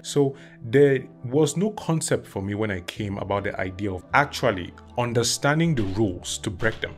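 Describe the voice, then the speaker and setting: A man in his thirties from Cameroon, giving an earnest, measured self-help talk to camera and listing his points one by one. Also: lowish voice. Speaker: vlogger.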